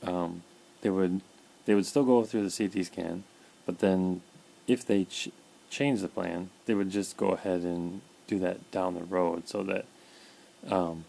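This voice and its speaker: A man talking casually in a personal video diary, halting with fillers and repeated words, his mouth dry. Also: deep voice, gravelly, mellow, slight background noise, low-quality recording. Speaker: vlogger documenting his illness.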